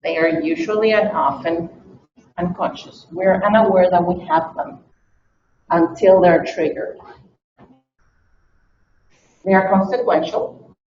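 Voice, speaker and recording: A woman's voice: lowish voice, takes it slowly, long pauses, a little hiss or hum. Chilean woman lecturing in an echoey room. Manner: explains point by point, often asks "okay?". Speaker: language teacher. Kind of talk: workshop lecture. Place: meeting room. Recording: distant, echoey, dull room recording.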